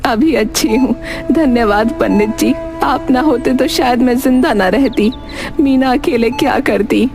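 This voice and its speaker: Woman playing frail village mother, high voice, grateful, homely and rustic, cartoon story, music underneath. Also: unhurried. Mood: weak, grateful. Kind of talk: cartoon moral story acting. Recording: music behind the voice.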